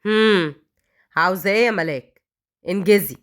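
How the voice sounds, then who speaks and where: A woman voicing a cold, harsh police officer of thirty who speaks in a low, commanding tone. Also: moderate pace, close to an everyday voice. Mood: curt.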